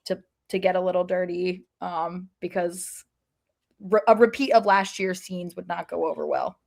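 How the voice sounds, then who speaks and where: A woman's voice: fairly low pitch, flat delivery, moderate pace, creaky, darkish tone. An American woman talking casually as co-host of a sports podcast.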